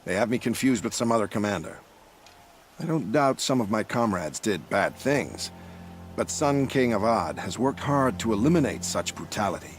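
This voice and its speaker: Man playing a slick game military officer, low pitch, very lively intonation, polished formal manner, feigned innocence. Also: soft background music, natural voice. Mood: smooth, evasive. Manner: polished, formal, measured politeness. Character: smooth, self-assured male military prefect feigning innocence. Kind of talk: video game dialogue acting.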